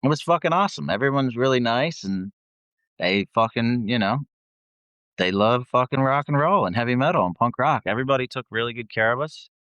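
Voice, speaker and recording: A man's voice: medium pitch, animated, fairly quick, a bit bright, no echo, highs cut off. A man who sings in a band, chatting as a guest and telling tour stories in a laid-back, slangy, sweary way. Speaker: band vocalist, interview guest.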